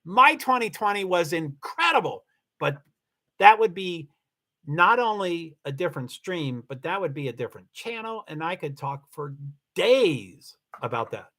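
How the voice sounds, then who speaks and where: A man's voice: sits high, sing-song, a little slow, bright, forceful tone. A man from Kentucky hosting a casual livestream, chatting loosely with viewers, rambling and often stopping to correct himself. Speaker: livestream host. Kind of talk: casual livestream chat, reading viewer comments.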